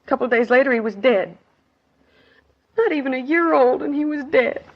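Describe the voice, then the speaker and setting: A woman's voice: high-pitched, animated, fairly quick. Grieving working-class woman witness, 1950s radio drama, plain clipped delivery, muffled vintage sound. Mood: sorrowful. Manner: plain clipped sentences, colloquial grammar. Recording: dull 1950s radio recording.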